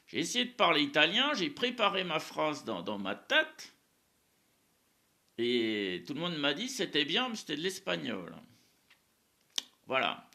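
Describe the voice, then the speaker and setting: A man's voice: on the high side, gravelly, bright, forceful tone. A man whose first language is Spanish, giving a casual, rambling religious monologue to camera, often repeating words and tagging his sentences with small fillers. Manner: rambling, repeats words, frequent "hein" and "euh".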